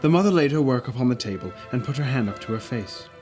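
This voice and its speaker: A man's voice: medium pitch, expressive, fast, darkish tone, soft background music. A man narrating a classic story as a storyteller, reading expressively from a script. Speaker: storyteller, reader.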